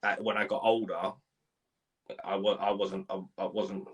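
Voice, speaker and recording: A man in his forties from Ireland, telling his life story in a live interview, halting, with many restarts and repeated words. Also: deep voice, little pitch movement, husky. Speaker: guest.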